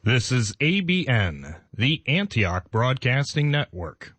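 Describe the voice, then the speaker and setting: A man reads station announcements clearly. His voice rises and falls in a lively way. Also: medium pitch, takes it slowly.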